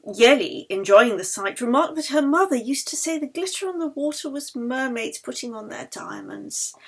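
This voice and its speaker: A woman reading her own novel as expressive audiobook narration. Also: high-pitched, moderate pace, husky.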